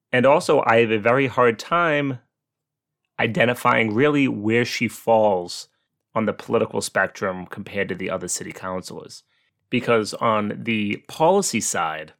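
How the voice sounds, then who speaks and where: A man hosting a commentary series, explaining things in a measured, opinionated way with some asides. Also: on the low side, fairly bright tone. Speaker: host of a local politics series.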